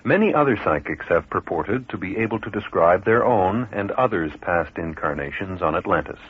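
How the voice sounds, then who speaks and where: Lowish husky man, calm documentary narration, lo-fi radio sound. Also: moderate pace. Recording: narrow lo-fi radio broadcast.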